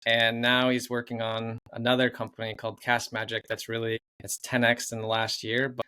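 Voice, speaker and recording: A male podcast host with a mid-pitched voice that stays level, barely moving up or down. He asks loose questions padded with "like" and "basically". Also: moderate pace, clean tone. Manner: relaxed, filler-heavy questions. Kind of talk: casual interview chat.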